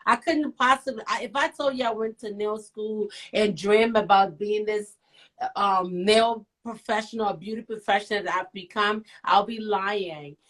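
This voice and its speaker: A middle-aged woman from Liberia, a nail professional and author, telling her story as an interview guest in a lively, teasing way. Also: mid-range voice, moderate pace. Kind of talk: casual interview.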